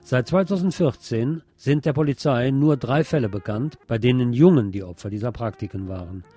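Mellow-voiced man reading radio news. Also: mid-range voice, normal speed, soft background music.

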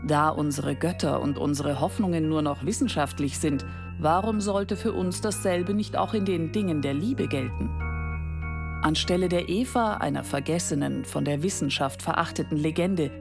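An actress playing a seductive female machine in a German radio play, declaiming deliberately and sultrily with a creaky voice. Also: deep voice, music in the background. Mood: grandly declaiming. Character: seductive female sex machine, coolly desiring humans.

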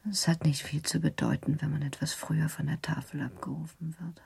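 A gravely ill young woman in a radio drama. Her voice is low, cracked with creak and heavily breathy. She speaks weakly and serenely, resigned to death. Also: little pitch movement, a little fast, a little mellow, exaggerated voice. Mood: wistful, serene. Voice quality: breathy, weak, whispery. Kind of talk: German radio drama acting.

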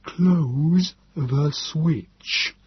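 A man voicing a non-human Morlock creature who speaks very slowly and deliberately, with an odd, distant timbre, in an audiobook reading. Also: on the high side, breathy edge, dry recording, voice pushed up, exaggerated voice. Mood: cold urgency. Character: eerie slow Morlock creature, cold and urgent. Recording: dull lo-fi recording.

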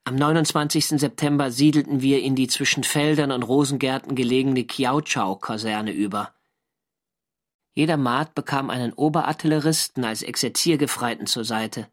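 An actor as a measured wartime sailor diarist. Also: male voice, medium pitch, close to an everyday voice. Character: young navy sailor narrating his wartime diary, earnest and wry. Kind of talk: scripted first-person radio drama narration.

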